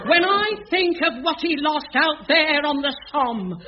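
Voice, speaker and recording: An actress playing a haughty, censorious middle-aged spinster sister in a radio sitcom. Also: female voice, high voice, animated, unhurried, noisy background. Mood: sniffy, disapproving. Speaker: sitcom character. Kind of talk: 1980s studio radio sitcom acting. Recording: dull old radio recording with studio audience laughter.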